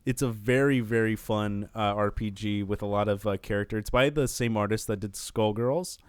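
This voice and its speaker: A man's voice: on the low side, normal speed, clean tone, no room sound. Dry-recorded man in blunt casual chat. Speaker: podcast co-host. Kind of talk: casual group chat among friends.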